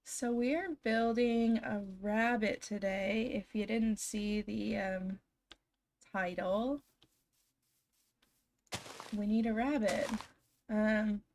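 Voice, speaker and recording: Slow-talking hoarse young woman streamer. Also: medium pitch, fairly even intonation, a little mellow. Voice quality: slightly scratchy, froggy. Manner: chatty, giggly, talks to chat. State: hoarse, recovering from illness. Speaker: game streamer. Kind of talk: casual live stream chat. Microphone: desk microphone.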